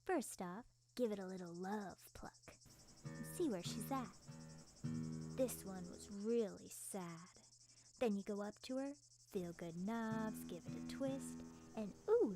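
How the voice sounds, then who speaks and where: A woman's voice: medium pitch, natural voice. A woman playing a quirky, playful young punk musician, slangy and teasing, in an ASMR roleplay.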